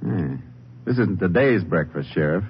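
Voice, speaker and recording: A calm, methodical Texas Ranger with a low voice. He talks tersely and plainly, as in a 1950s radio western. The old recording is dull and heavily hissy. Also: normal speed. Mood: probing, serious. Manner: terse, matter-of-fact. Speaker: lead actor, also narrating the case. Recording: noisy, muffled vintage radio recording.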